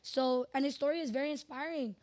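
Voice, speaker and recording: Woman, 20s, hoarse, high voice, slangy, animated storyteller. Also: quick pace. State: hoarse from hosting events. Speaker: podcast guest, radio personality. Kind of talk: candid casual interview chat.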